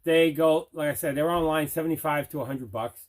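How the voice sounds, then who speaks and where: A man's voice: a little high, brisk. A man hosting a collector's video channel, chatting casually through an unboxing with emphatic, repeated phrases. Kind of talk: casual unboxing vlog chat.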